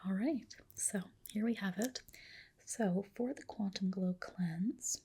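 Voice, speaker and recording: A woman whispering softly and breathily in an ASMR roleplay as a spa skin specialist, gently explaining and reassuring. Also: mid-range voice, slow pace, a little hiss or hum. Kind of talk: ASMR roleplay, personal attention.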